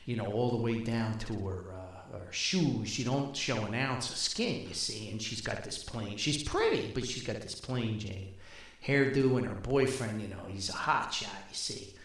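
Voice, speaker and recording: A man's voice: lowish voice, expressive, moderate pace, fairly bright tone. An older man telling casual anecdotes as a podcast host, in a rambling, folksy manner. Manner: rambling, folksy, chuckles at own stories. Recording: very echoey, reverberant room sound.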